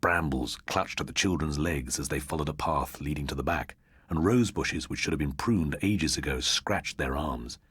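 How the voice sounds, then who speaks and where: British man, deep husky voice, dramatic audiobook narration, ghost-story reading, dry close sound. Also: moderate pace, a bit bright, no echo.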